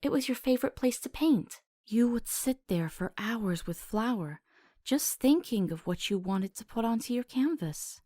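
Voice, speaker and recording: A woman's voice: fairly high pitch, lively intonation, normal speed, mellow. A woman playing a compassionate young doctor in a visual novel, talking tenderly and coaxingly as if telling a soothing story. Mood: tender, wistful.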